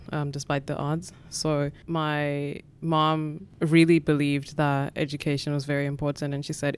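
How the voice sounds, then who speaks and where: A young South African woman talks in a smooth, clean voice. Her pitch stays fairly level as she reflects, with plenty of ums and sort-ofs. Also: a little mellow. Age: 20s. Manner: thoughtful, reflective, many fillers. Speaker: guest. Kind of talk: conversational interview answers.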